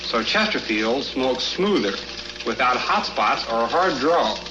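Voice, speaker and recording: A man's voice: mid-range voice, expressive, takes it slowly. A man giving a stiff, scripted explanation as a company president in a vintage radio advertisement. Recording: noisy, dull, echoey old radio recording.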